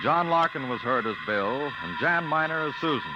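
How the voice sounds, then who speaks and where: A man announcing in formal 1950s radio style, measured and clear. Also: mid-range voice, animated, heavy background noise. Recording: dull 1950 radio broadcast over loud music.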